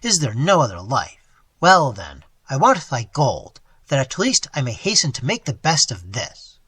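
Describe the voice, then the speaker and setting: A man's voice: mid-range voice, huge pitch swings, measured pace, fairly bright tone, breathy edge, no echo, heavily acted. A man voicing a bitter young man who speaks with cold, harsh scorn. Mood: bitter scornful. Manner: harsh, sneering.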